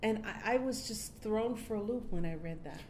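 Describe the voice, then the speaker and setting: A woman hosts a podcast interview, asking chatty, curious questions and reacting with small murmurs. Her voice is mellow and warm rather than bright. A faint low rumble sits behind her. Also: normal speed. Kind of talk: casual interview.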